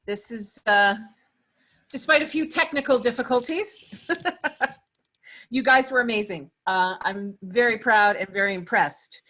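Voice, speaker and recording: Woman, bright forward voice, slightly high pitch, effusive, casual, table read host. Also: a little slow, pauses often. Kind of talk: casual congratulatory talk to cast.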